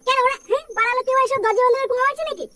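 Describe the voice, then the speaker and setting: Very high, fast-jabbering cartoon village guy voiced by a woman. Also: fairly even intonation, recorded from a distance, very noisy. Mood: brash. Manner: slangy, foul-mouthed, blustering. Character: crude, excitable village men in a comedy cartoon. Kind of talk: cartoon comedy dialogue.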